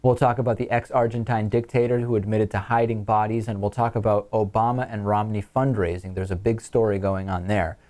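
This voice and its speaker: A man's voice: lowish voice, little pitch movement, fairly quick, few pauses, darkish tone. A man with an American accent hosting a talk radio show, reading listener messages and commenting on them.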